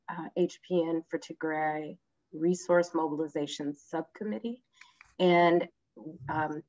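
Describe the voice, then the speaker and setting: A woman hosting an online event, courteously introducing speakers with halting delivery. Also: deep voice, a little mellow. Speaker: host, moderator. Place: online video conference. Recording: dull video-call audio.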